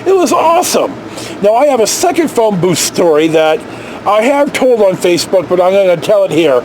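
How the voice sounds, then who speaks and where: A man's voice: high voice, normal speed, slight background noise, low-quality recording. A middle-aged man with an American accent telling personal stories in a casual, rambling, salty way, recorded indoors on a camera at arm's length. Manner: salty, sweary, animated reminiscing. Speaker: storyteller, vlog host. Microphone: camera held at arm's length.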